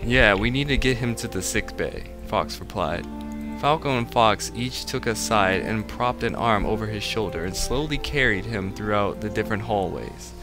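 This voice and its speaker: Male co-host, lowish voice, mock dramatic story reader with sardonic asides, music behind. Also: moderate pace. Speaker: co-host reading fan fiction aloud. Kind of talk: mock dramatic reading with casual banter. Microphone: online voice call.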